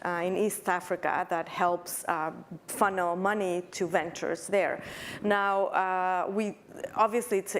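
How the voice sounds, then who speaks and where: A woman's voice: lowish voice, slight background noise. A woman moderating a conference panel, introducing guests and asking questions in a friendly, filler-laden way.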